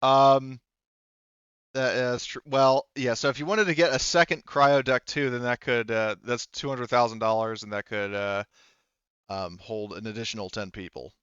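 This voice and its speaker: A man acting as game master in casual talk, thinking aloud haltingly and swearing bluntly. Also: mid-range voice, steady tone, fairly bright tone, clear, resonant tone, dry recording, lo-fi sound. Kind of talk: running a tabletop roleplaying game, casual talk.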